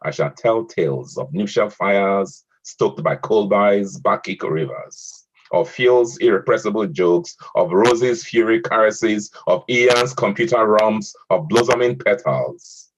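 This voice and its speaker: A man moderating an online poetry event, reading a poem aloud in a measured way and hesitating with fillers between speakers. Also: fairly low pitch. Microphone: online video call audio. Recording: muffled lo-fi call audio.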